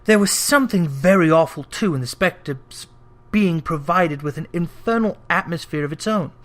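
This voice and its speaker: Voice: mid-range voice, moderate pace. A narrator reading a classic tale aloud as dramatic storybook narration. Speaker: narrator, reader.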